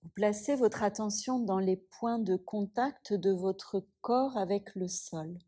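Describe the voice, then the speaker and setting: A woman yoga teacher guiding a yoga nidra relaxation, speaking softly and soothingly with long pauses. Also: mid-range voice.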